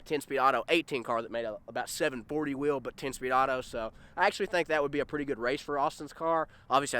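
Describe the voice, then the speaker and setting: Fast-talking congested young man vlogging. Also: mid-range voice. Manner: excited, rambling, addresses viewers as guys. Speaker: car vlogger host. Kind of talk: casual car review vlog. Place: inside a car, then outdoors in a parking lot. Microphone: handheld camera at arm's length.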